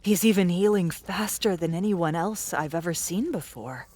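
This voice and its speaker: A woman's voice: lowish voice, normal speed, a little mellow, lightly acted. A woman playing a tough, matter-of-fact sci-fi fighter, cool and dry, in an audiobook drama. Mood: wry.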